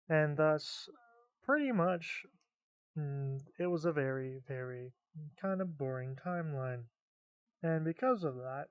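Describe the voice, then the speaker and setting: A man walks through fan lore in an unhurried, rambling explainer. His voice is a little high and clear. Close, dry sound with no room. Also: dry recording, low-quality recording. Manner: rambling, offhand asides. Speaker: video creator narrating lore.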